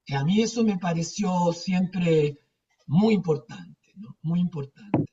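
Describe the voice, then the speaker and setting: A woman's voice: fairly low pitch, slow pace, mellow. An older woman with an Argentine accent, telling anecdotes casually in a rambling, emphatic way with repetitions. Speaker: theatre teacher. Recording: distant, dull muffled microphone.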